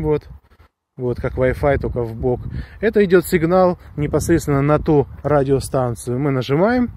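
A man's voice: a little high, noisy background. A man chatting casually and enthusiastically to viewers in a rambling, slangy way, recorded outdoors on a handheld phone. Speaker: vlogger reviewing a walkie-talkie. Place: outdoors on a grassy hillside with pines.

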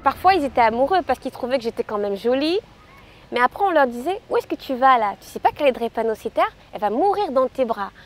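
A woman's voice: high voice, big pitch swings, fast, a little hiss or hum. A young adult woman from Cameroon, giving a personal testimony outdoors in a park, with a hesitant way of talking and many fillers. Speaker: patient interviewee.

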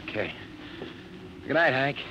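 A man's voice: medium pitch, animated, unhurried, highs cut off, lightly acted. An American man acting an exhausted, shaken young pilot in a 1950s radio drama. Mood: weary. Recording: very noisy vintage radio broadcast.

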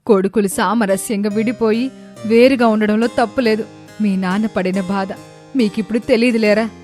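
A woman's voice: mid-range voice, steady tone, moderate pace, a little mellow, soft background music, natural voice. Woman acting a wounded, dignified elderly mother. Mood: hurt, proud. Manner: reproachful, emotional, emphatic. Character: wounded, dignified elderly widowed mother rebuking her sons.

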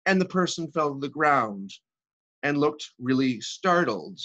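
Here is a man acting out a frazzled woman in her thirties, delivering a comic stage monologue. The voice is sharp and bright, with a lively range. She rambles emphatically, exasperated and sorry for herself. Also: on the high side, a little slow, muffled recording, pitched above the performer's own voice. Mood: indignant, frantic. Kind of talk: theatrical comic monologue reading.

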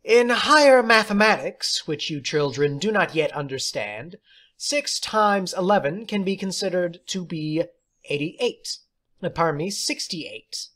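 Eccentric woman schoolteacher, acted by a man, high-pitched, precise, jolly, condescending, storybook character. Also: expressive, measured pace, fairly bright tone, exaggerated voice. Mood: airy, breezy. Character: eccentric prim woman substitute teacher, jolly and airy.

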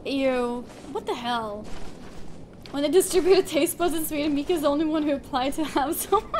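A woman's voice: sits high, normal speed. A young adult woman chatting casually while streaming a game. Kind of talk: casual gaming chat. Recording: clear background noise, keyboard typing.

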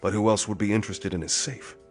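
Male actor for suspicious police interrogator, pulp audio drama; probing, insistent, fairly low pitch. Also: steady tone, quick pace, faint music behind, lightly acted. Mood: puzzled, suspicious. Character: brisk, suspicious male police detective, hard-nosed interrogator.

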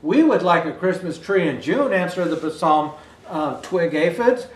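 A man's voice: high-pitched, lively intonation, normal speed. An older man in the role of a Santa storyteller, reading a children's picture book aloud expressively with asides to viewers. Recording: roomy indoor echo.

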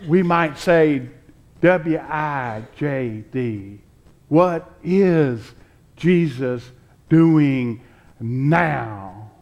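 An older man preaching a sermon from a pulpit, deliberate and emphatic, pausing between phrases. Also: on the high side, expressive, highs cut off. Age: 60s or older. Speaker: pastor preaching. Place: church sanctuary, at a pulpit with choir behind.

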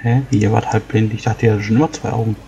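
A man's voice: on the low side, fairly even intonation, very fast, mellow, lo-fi sound, close to an everyday voice. A man playing a plain-spoken, curious adventurer in a tabletop roleplay, casual, questioning and a little unsure. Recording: music behind.

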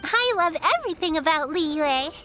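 A woman's voice: very high voice, expressive, normal speed, exaggerated voice. A bubbly little girl. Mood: cheerful. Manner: bubbly, earnest, chatty. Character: cheerful little girl, sweet and eager. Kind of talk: video game character dialogue. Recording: game audio with soft music behind.

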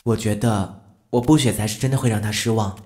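A young man playing a shy, hesitant, earnest high school boy in an amateur audio drama. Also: steady tone, a little fast, darkish tone, roomy sound, in a large hall, lightly acted. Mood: bashful, hesitant. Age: young adult.

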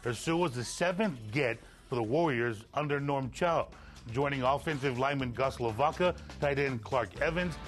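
A middle-aged man delivers a scripted sports report from a TV news studio. His voice sits at a medium pitch, with faint music around it. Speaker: TV sports anchor.

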